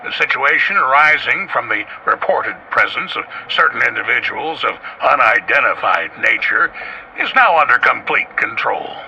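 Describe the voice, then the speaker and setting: An actor playing a brisk, overconfident army captain making an official military report in a radio drama. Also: male voice, medium pitch, expressive, gravelly, pushed and bright, exaggerated voice. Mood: official, assured. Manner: clipped official, self-assured. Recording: dull radio-like sound, faint noise.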